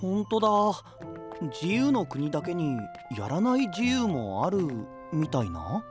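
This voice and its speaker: A man's voice: high voice, big pitch swings, a little slow, over music. A man playing a cheerful, easygoing young knight in an audio drama, with breezy, casual, friendly talk. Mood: puzzled, animated. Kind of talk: anime-style audio drama acting.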